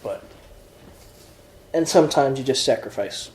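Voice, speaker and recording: Male tutor, patient, step-by-step explainer, warm tone, roomy echoey recording. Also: mid-range voice, expressive, measured pace. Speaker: Greek tutor. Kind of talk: informal one-on-one lesson.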